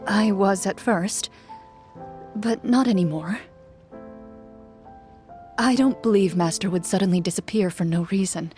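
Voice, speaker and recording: An actress playing a composed, reserved young female duelist in a video game, speaking in a formal, measured way. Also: mid-range voice, a little mellow, soft background music, close to an everyday voice. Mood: calm, wistful.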